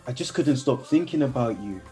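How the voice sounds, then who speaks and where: A man's voice: medium pitch, expressive, moderate pace, dark tone, soft background music, lightly acted, poor audio. An actor playing an earnest, lovestruck young man in a radio play, awkward but persistent. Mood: confessional. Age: young adult. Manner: earnest, hesitant.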